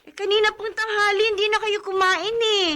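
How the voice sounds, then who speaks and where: An actress playing a patient, weary young wife in a radio drama. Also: female voice, very high-pitched, flat delivery, brisk, sharp tone. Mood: coaxing.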